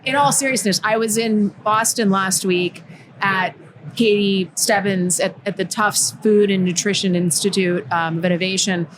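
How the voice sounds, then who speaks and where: A woman's voice: medium pitch, steady tone, moderate pace, noisy background. A woman hosting a live podcast, enthusiastic and talkative as she introduces her guest. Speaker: podcast host.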